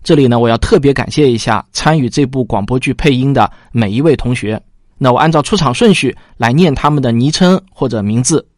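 A man's voice: mid-range voice, fairly quick, dry recording, studio quality. A man presenting as a show host, talking to listeners in a conversational, orderly way. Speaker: host and producer.

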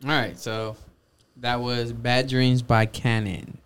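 A man in an everyday, mid-pitched voice co-hosts a podcast in loose, casual chat. He talks bluntly and with plenty of slang, pushes back hard and swears without a second thought. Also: flat delivery, takes it slowly, slight background noise. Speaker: podcast co-host.

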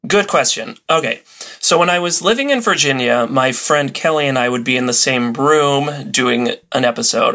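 A man's voice: mid-range voice, a bit bright. A man with a mid-range voice talks as a guest in a casual podcast interview. He is chatty and enthusiastic, explaining things in an informal, conversational way.

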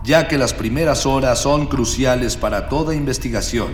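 A man's voice: mid-range voice, normal speed, close to an everyday voice. Man acting a grandiloquent, echoing radio-serial narrator. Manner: mock-archaic, grandiloquent storytelling. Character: florid ex-policeman narrator, male companion of the hero. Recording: reverberant, music underneath.

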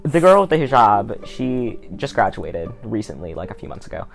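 A teenage American boy hosts a casual interview podcast. His pitch moves around a lot as he talks, and he slips into sarcastic asides. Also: sing-song, normal speed, soft background music.

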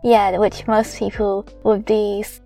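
Soft-spoken young woman with a gravelly edge. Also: medium pitch, level tone, takes it slowly, faint music behind. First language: Chinese. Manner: soft-spoken, laughs often. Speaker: podcast co-host. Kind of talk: casual chat.

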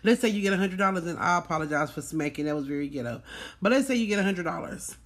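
A woman's voice: fairly low pitch, expressive, fast talker. A woman hosting a book club in a casual chat, informal and repeating phrases for emphasis.

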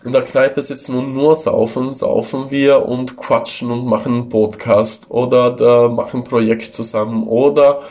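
A man's voice: mid-range voice, little pitch movement, normal speed, hardly any pauses, a little mellow. Hesitant man chatting. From Northern Italy. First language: Ladin. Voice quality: hesitant, many fillers and restarts. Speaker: podcast co-host, tattoo artist. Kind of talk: casual podcast chat.

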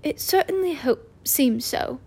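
A woman voicing a calm, gently amused old male wizard headmaster, in a mild, unhurried way. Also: high voice, pitched above the performer's own voice. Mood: gentle.

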